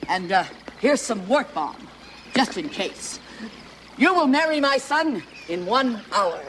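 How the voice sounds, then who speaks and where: A woman's voice: fairly high pitch, big pitch swings, slow pace, background noise. A woman playing a domineering, scornful mother toad in a theatrical fairy tale, mock-sweet in manner. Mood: bossy, scornful. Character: bossy, scornful mother toad scheming her son's wedding.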